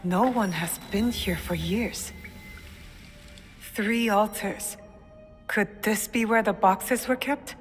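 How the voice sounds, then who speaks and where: A woman's voice: mid-range voice, takes it slowly, pauses often, natural voice. A woman playing a determined, guarded young female shinobi in a video game cutscene, speaking with earnest intensity. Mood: wary musing. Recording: long hall reverb, music behind.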